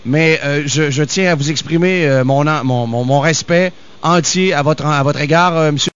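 A man interviewing a priest, hesitant and halting, with many fillers and restarts. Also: a little high, a bit bright, clean tone. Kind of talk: interview questions. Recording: dull lo-fi sound.

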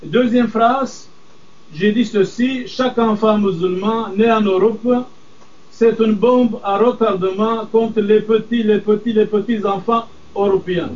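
Older priest, distant and dull-sounding. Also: male voice, high-pitched, fairly even intonation, darkish tone. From Middle East. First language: Aramaic. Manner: firm, deliberate, measured emphasis. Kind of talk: interview answers.

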